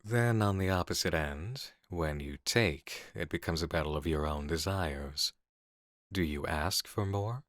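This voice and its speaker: A man's voice: a bass voice, fairly bright tone, no room sound, studio quality. A man playing an intense, assured horror game developer who muses intimately and philosophically in an ASMR roleplay.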